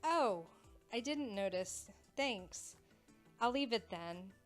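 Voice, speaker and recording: An actress plays a young female student in an audio drama. The voice is high and animated, curious and excitable, with music underneath. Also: slow. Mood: sheepish, curious.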